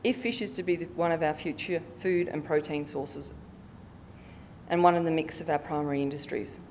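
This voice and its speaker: Low-voiced woman in a reverberant hall. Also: normal speed, darkish tone. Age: middle-aged. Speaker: conference presenter, researcher. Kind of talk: prepared formal conference presentation. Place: conference hall stage, indoors. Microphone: lectern microphone. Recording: reverberant hall, audible low background rumble.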